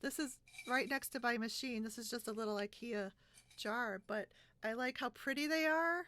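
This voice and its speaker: A woman's voice: fairly high pitch, normal speed, sharp tone, no echo. A woman chatting casually in a bubbly, giggly way, recorded at home on a video call. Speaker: podcast host. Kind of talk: casual chat about sewing favorites.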